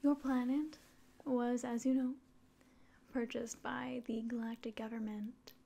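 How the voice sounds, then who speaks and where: A woman in her twenties doing an ASMR roleplay as a doctor, speaking softly and often whispering in a polite, measured way. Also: on the high side, level tone. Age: 20s. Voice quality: soft, breathy, often whispering. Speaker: ASMR performer. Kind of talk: ASMR roleplay as an alien doctor giving an exam. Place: small quiet room indoors.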